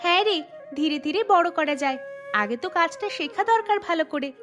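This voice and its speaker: High-pitched encouraging village woman played by an actress. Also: expressive, brisk, over music. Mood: confident, friendly. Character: encouraging village woman planning a fish-fry business. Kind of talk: cartoon dialogue.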